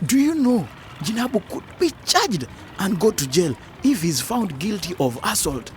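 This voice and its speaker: An earnest male councillor in a radio drama. His high voice swings widely as he lectures a friend with indignant emphasis, over clear background noise. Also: very animated, normal speed. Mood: earnest, stern. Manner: preachy, emphatic. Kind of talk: educational radio drama acting.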